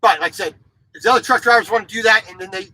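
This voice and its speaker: High bright-voiced trucker, blunt and repetitive. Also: male voice, racing, no echo. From grew up in Japan as a military child. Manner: blunt, repeats words and restarts, opinionated. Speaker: truck driver vlogger. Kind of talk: casual monologue to camera. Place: truck sleeper cab, small indoor space.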